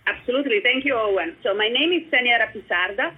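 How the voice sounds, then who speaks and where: A woman's voice: a little high, lively intonation, fast, slightly rough. A woman from Italy with an Italian accent, a guest investor explaining her firm in a businesslike way. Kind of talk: interview answers. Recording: tinny phone-like line.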